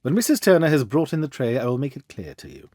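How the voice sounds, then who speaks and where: A man reading a storybook as a confident, commanding Victorian gentleman detective with an assured, theatrical manner. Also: mid-range voice, huge pitch swings, fast talker, dry recording, clean studio sound. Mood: brisk, assured.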